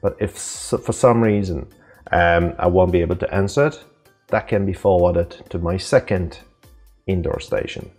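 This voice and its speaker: A man presenting an informal, unscripted software tutorial, explaining step by step in a halting way. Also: deep voice, faint music behind.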